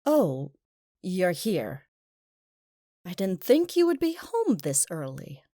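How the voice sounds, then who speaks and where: A woman's voice: lowish voice, huge pitch swings, takes it slowly, mellow, no echo, clean studio sound, exaggerated voice. A woman playing a cold, cruel pony wife in an audio drama, speaking with contempt and dripping disappointment.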